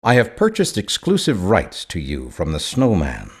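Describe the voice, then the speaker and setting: Deep-voiced imperious mystery man. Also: wildly swooping pitch, normal speed, slightly rough, exaggerated voice. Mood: formal, imperious. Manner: formal, pompous, mysterious. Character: Malthus, a secretive, imperious male representative of shadowy investors. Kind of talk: video game character dialogue.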